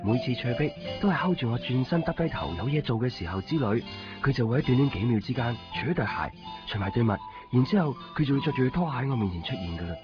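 Guy acting earnest curious young hong kong cantonese man in radio drama, talks fast, loud music behind. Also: medium pitch, expressive, highs cut off, close to an everyday voice. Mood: wry, chatty.

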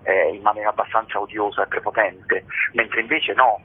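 A man's voice: on the low side, steady tone, normal speed, husky. A man speaking as an actor interviewed on radio, explaining earnestly and with passion, using emphatic repetitions. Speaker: stage actor, interview guest. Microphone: telephone. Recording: muffled tinny phone line.

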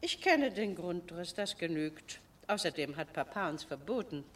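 An actress playing a cool, manipulative genteel sister in a radio drama, speaking with haughty, precise irony. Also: female voice, lowish voice, expressive, normal speed, fairly bright tone, slight background noise. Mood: cool, dismissive. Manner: haughty, coolly ironic, precise. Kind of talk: German radio drama acting.

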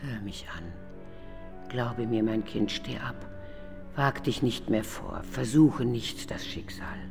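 A woman's voice: extremely low pitch, vocal fry, darkish tone, soft background music, exaggerated voice. An actress playing the Night, a secretive, motherly guardian spirit who speaks solemnly and pleads in warning in a radio play. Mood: grave pleading. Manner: slow, solemn, pleading and admonishing. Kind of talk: German radio play acting.

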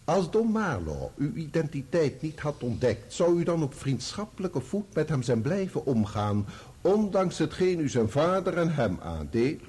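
An actor in a radio play voicing a probing, sardonic barrister, formal and full of pointed rhetorical questions. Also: male voice, fairly high pitch, very animated, normal speed, darkish tone, husky. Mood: pointed, needling. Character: probing, sardonic male barrister cross-examining a witness. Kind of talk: courtroom radio play acting.

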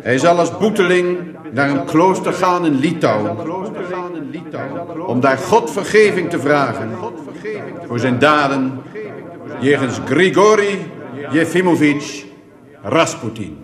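An actor playing a troubled, solemn Russian tsar in a radio drama, speaking haltingly with a husky voice. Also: male voice, fairly high pitch, lively intonation, a crowd in the background. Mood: stern, placating.

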